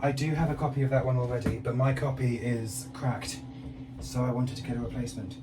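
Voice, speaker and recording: Male record collector, casual unboxing commentary, medium pitch, heard at a distance from the mic. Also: fairly even intonation, fairly quick. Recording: distant mic with clear background noise.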